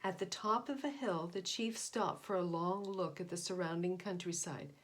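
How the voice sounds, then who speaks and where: A woman's voice: lowish voice, normal speed. Woman reading a children's story aloud. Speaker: volunteer picture-book reader. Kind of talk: read-aloud children's story narration with asides to listeners.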